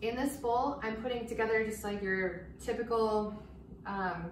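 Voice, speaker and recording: A woman's voice: on the high side, measured pace. A woman in her thirties demonstrating a recipe in a home kitchen, chatting casually as she cooks. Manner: relaxed, chatty, explains while cooking. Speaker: cooking demonstrator. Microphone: far camera mic. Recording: echoey kitchen, distant mic, noisy.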